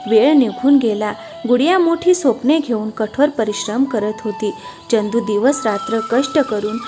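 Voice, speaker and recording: A woman narrates a scripted story. The voice sits fairly high in pitch. Music plays clearly behind the narration.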